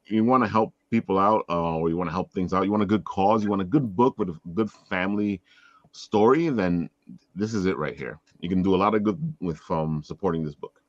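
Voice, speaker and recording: A man's voice: fairly quick, no room sound. A man hosting a casual interview show, chatting informally with his guest and the audience. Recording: dull, low-fidelity call audio.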